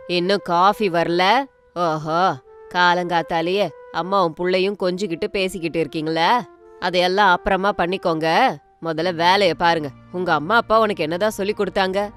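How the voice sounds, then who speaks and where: A woman's voice: on the low side, fairly bright tone, pitched below the performer's own voice. A woman voicing a harsh older mother-in-law in a family story, scolding and sarcastic. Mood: angry scolding.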